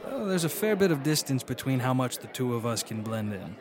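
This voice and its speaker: A man's voice: medium pitch, sing-song, brisk, close to an everyday voice. A man playing a wry, genial and watchful adventurer in a radio drama, speaking articulately. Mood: wry, amused.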